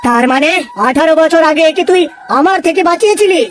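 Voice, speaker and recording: A woman's voice: very high voice, fast talker. A woman voicing a proud male lion in a cartoon, commanding and boastful. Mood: questioning. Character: fierce male lion lord, boastful, softening. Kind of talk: cartoon voice acting. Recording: music behind the voice.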